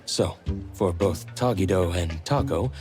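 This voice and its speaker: A man playing a courteous, composed, helpful leader in an anime game, speaking in a polite, measured, formal way. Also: deep voice, a little mellow, soft background music. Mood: earnest, composed. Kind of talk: anime game dialogue acting.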